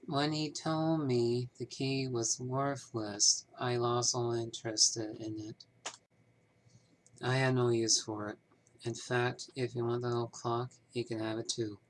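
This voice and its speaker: A man acts out a male bank manager from a small town, in video game dialogue. He talks slowly and with measured formality. He comes across as guarded and troubled, a little hesitant when he explains himself. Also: medium pitch, slight background noise, lightly acted, rough recording. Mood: defensive. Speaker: amateur voice actor. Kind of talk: scripted game character dialogue, fan performance.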